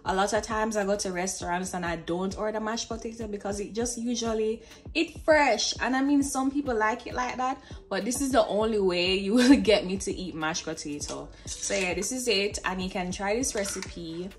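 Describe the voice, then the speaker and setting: A young woman with a Jamaican accent talks casually through her cooking in a home kitchen. Her voice sits at a middle pitch and her phrasing is informal, tinged with Creole. Soft music plays faintly behind her. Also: normal speed. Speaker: cooking vlogger. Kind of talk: casual chat while cooking.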